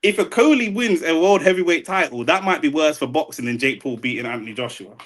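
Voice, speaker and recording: A man's voice: a little high, fast, fairly bright tone. A young man, co-hosting a boxing chat, blunt and opinionated with street slang. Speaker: boxing podcast co-host. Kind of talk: casual chat.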